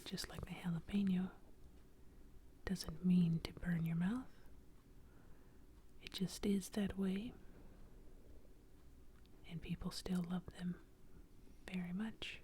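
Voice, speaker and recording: Slow, soft-whispering woman storyteller. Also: on the low side, steady tone, slight background noise. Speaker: ASMR storyteller.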